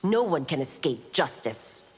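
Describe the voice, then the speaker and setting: A woman's voice: low-pitched, sing-song, a little slow. A woman playing a stern, proud female constable in a fantasy video game, formal and authoritative. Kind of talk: video game character dialogue. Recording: long hall reverb, faint noise.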